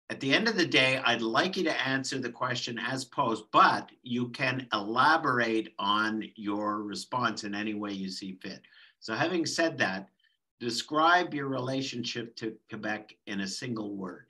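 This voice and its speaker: Bright, forceful male interviewer. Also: medium pitch, low-quality recording. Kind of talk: semi-formal interview questioning. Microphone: video call.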